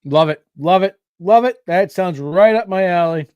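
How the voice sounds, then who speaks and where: A man's voice: high voice, moderate pace, darkish tone, clean tone, dry recording, lo-fi sound. A man co-hosting a podcast in casual, appreciative chat, feeling his way through his phrasing. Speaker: podcast co-host.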